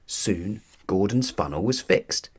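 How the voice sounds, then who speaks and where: A man narrating a children's storybook aloud in an expressive, deliberate storytelling manner. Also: on the low side, breathy edge.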